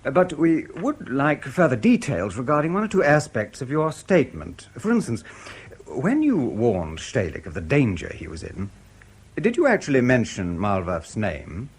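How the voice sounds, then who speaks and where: An actor playing a polite, probing British intelligence interrogator in a radio drama, courteous, measured and insinuating, with a husky voice. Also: male voice, medium pitch, huge pitch swings, heavily acted. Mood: polite, formal.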